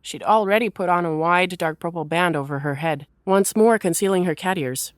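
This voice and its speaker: A woman reading scripted third-person story narration in an expressive storytelling manner. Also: lowish voice, moderate pace, dry recording, clean studio sound.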